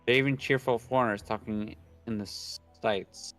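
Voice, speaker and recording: A man's voice: medium pitch, steady tone, normal speed. A young man casually reading visual novel narration aloud and chatting, stumbling over words now and then. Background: soft background game music.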